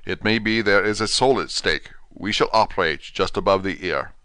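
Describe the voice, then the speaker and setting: A man voicing a stern elderly professor who speaks in a low, measured, grave way. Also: sharp tone, clear, resonant tone, no room sound.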